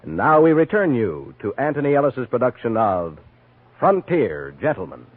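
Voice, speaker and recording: A man with a husky voice reading scripted network promos as a 1950s radio announcer. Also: medium pitch, sing-song, moderate pace, soft background music. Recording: dry, dull vintage radio recording.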